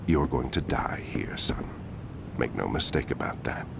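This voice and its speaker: A man's voice: a bass voice, expressive, unhurried, creaky, background noise, full character voice. An actor playing a smug, ruthless older male politician in a video game, pompous and politely menacing.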